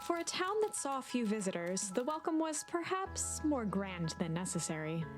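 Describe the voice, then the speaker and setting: A calm, knowing storybook narrator guides a story game. Her voice is fairly high, measured and theatrical, with clear music underneath. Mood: measured storytelling.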